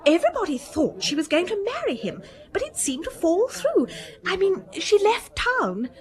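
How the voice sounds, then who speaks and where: A woman's voice: extremely high pitch, very animated, normal speed, darkish tone. An actress playing an earnest, scatterbrained, easily indignant socialist woman with an English accent, gushing away in a radio drama. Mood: earnest, chatty. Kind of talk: radio comedy-drama acting.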